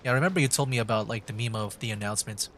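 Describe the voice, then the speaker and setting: A man streaming a video game with casual commentary, thinking aloud in hesitant, halting bursts. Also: fairly low pitch, a bit bright, slight background noise.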